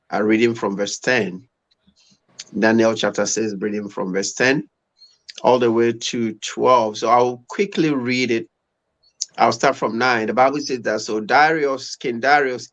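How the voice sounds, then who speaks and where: A man's voice: medium pitch, a bit bright, rough recording. A man in his fifties from Ghana with a Ghanaian accent, speaking as a guest pastor in a conversational religious style. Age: 50s. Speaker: pastor, guest on a live stream. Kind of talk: conversational testimony and scripture reading.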